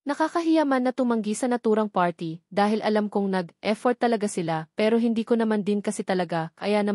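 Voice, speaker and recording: A woman's voice: mid-range voice, a little mellow, no echo. Filipino woman narrating fiction, dry close sound. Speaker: audiobook narrator. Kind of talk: first-person romance novel narration.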